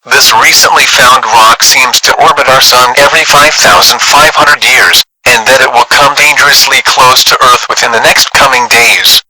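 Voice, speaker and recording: A man playing a grave space agency official, giving a formal, measured emergency announcement. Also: mid-range voice, fairly even intonation, over a noisy crowd, close to an everyday voice, rough recording. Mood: solemn. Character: grave male space agency official delivering a solemn televised warning.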